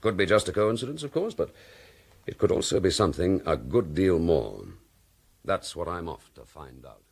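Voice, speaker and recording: An actor playing a determined, dryly courteous British police detective in a radio drama, husky and clipped. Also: male voice, sits low, very animated, normal speed, heavily acted. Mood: dry, wry.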